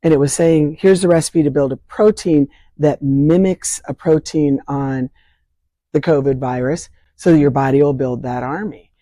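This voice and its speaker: An older woman with a hoarse voice talks through health questions in an earnest, plain-spoken way, reaching for simple analogies. Her tone is mellow and soft-edged. The sound is dry and close, with no room around it. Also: sits low, steady tone, moderate pace, no echo. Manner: earnest, explains with vivid analogies. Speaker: state leader of a vaccine advocacy group. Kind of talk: explanatory interview answers. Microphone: remote interview from home.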